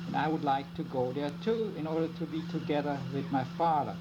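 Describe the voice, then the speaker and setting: An older man whose first language is German, slowly and carefully recounting his memories in an oral history interview. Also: fairly high pitch, fairly even intonation. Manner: slow, halting, careful recounting. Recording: lo-fi tape with loud hum.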